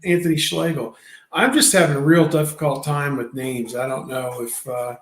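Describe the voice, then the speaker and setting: A man in his fifties hosting a sports talk show, rambling, with many fillers and pauses. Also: medium pitch, echoey room. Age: 50s. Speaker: podcast host.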